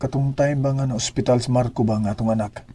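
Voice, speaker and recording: A man's voice: mid-range voice, fairly even intonation, quick pace, mellow, slightly rough, natural voice. A man with a Cebuano accent voicing a struggling husband and father in a radio drama, earnest and keen to please his wife. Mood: pleading. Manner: earnest, says "day" often. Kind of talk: amateur radio drama acting.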